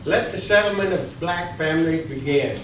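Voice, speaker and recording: Male actor as army general, highish voice, formal stage recitation, distant, heavy echo. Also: moderate pace, fairly bright tone, natural voice. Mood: formal, commanding. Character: male Civil War general issuing formal orders. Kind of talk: readers theater recitation. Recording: distant, echoey hall, clear noise.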